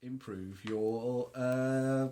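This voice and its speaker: A man's voice: mid-range voice, very slow, warm tone, a little hiss or hum. A man playing a board game with friends, reading cards and cracking jokes. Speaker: player. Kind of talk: casual board game chat.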